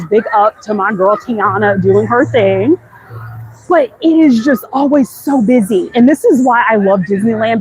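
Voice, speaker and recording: A woman's voice: medium pitch, poor audio. An American woman hosting a casual, chatty walk-and-talk livestream, conversational and speaking directly to her viewers. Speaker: livestream host. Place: outdoors walking through a busy theme park. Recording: outdoor ambient noise. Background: busy theme park ambience.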